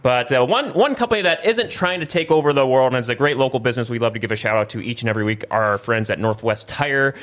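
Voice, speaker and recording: A man's voice: medium pitch, fast, hardly any pauses, fairly bright tone. A man hosting a podcast, talking casually through news in a rambling way that doubles back on itself. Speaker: podcast host.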